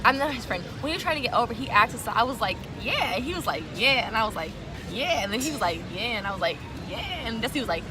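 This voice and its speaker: A woman chatting playfully with a friend outdoors at night, telling jokey stories in a teasing, animated way. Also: fairly high pitch, fast. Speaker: vlogger's friend. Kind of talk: casual joking chat. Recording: loud music and crowd noise behind.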